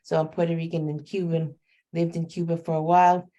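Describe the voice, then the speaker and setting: Low-voiced New York woman, guest reader. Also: flat delivery, a little fast, dark tone, highs cut off. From New York City. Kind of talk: informal introduction and bilingual poetry reading.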